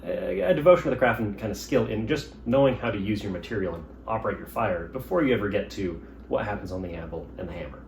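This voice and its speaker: A man who works as a blacksmith, speaking in a casual interview in a relaxed, thoughtful way with frequent ums. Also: fairly low pitch, fast, creaky. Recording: echoey room with faint noise.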